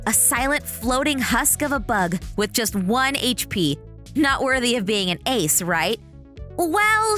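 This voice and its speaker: A woman doing scripted narration for a video, with a dramatic, animated storytelling delivery. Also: high voice.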